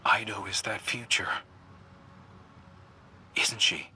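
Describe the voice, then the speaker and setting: Game role: male mentor with regrets, lowish rough voice, hard forceful edge, plain-spoken and sincere. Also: fairly even intonation, takes it slowly, a little hiss or hum, exaggerated voice. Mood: questioning. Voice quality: husky. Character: regretful, reassuring male mentor with a troubled past. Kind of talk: video game dialogue acting.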